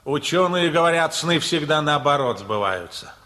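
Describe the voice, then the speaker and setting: A man's voice: a little high, expressive, moderate pace, sharp tone. An actor playing a wry, teasing, sceptical young man in a radio play. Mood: mocking.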